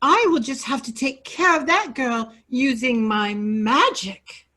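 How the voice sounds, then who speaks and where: A woman's voice: high voice, slow pace, sharp tone, heavily acted. A woman playing a doting, scheming fairy godmother in a staged reading, cooing and dramatic. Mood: fussing. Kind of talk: staged reading of children's theater.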